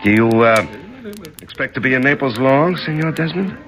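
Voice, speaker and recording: A man's voice: mid-range voice, lively intonation, normal speed, lightly acted. A man playing a suave, possessive racketeer in a radio drama, silky and courteous with a veiled threat. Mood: smoothly courteous. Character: suave, possessive Italian racketeer, jealous suitor. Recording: music behind the voice.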